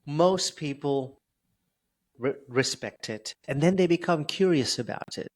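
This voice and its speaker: A man's voice: a little high, a little slow. A man talking as an interview guest who stutters, with repeated sounds and blocks, speaking openly and with humor. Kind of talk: conversational interview.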